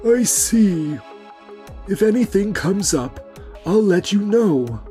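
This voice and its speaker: The senior boss across the meeting table in a cartoon romcom , acted by a man, courteous and measured, lightish mellow voice. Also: sits high, lively intonation, soft background music. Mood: measured, thoughtful. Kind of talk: scripted manga dub acting.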